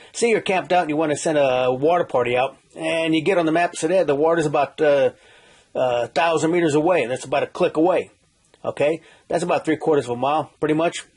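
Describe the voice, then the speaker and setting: A man's voice: fairly high pitch, husky. A middle-aged man with a folksy manner, giving an informal survival how-to talk and often checking in with "okay?" as he goes. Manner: folksy, repeats "okay?", many restarts and "uh". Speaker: survival instructor.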